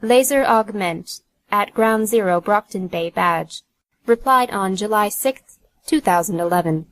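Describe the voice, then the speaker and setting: A woman's voice: mid-range voice, unhurried, low-quality recording. A woman narrating an audiobook from a script in a neutral, announcing manner.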